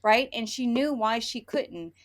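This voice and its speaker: A woman's voice: a little high, unhurried. A woman podcast host in a casual book chat, ranting bluntly with opinions and swearing, often acting out imagined dialogue.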